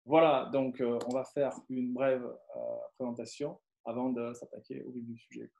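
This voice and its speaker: Man, gravelly voice, mellow tone, hesitant webinar presenter, echoey video call. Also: medium pitch, level tone, moderate pace. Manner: hesitant, many fillers and restarts.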